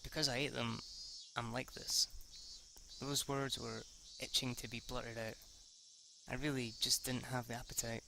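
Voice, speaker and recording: A man reading visual novel narration aloud for a let's play, a bit halting, with sweary asides. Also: medium pitch, level tone, vocal fry, noisy background. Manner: halting, stumbles over words, sweary asides.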